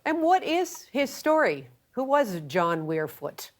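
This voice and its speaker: A woman's voice: sits high, sing-song, takes it slowly. A woman, speaking as a television host conducting an interview. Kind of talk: broadcast interview.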